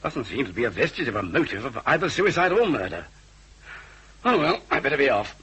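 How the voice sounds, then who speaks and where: A man's voice: mid-range voice, very animated, fairly quick, sharp tone, breathy edge, exaggerated voice, low-quality recording. A man playing a weary, matter-of-fact British Scotland Yard detective who speaks in clipped phrases, in radio drama style. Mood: tired, businesslike. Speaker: supporting actor in detective drama.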